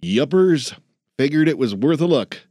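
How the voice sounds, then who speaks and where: A man doing a gruff old janitor. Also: a little high, expressive, measured pace, darkish tone, dry recording, clean studio sound, voice pushed up. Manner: gruff, blunt, folksy slang. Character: grumpy old male janitor, gruff and folksy.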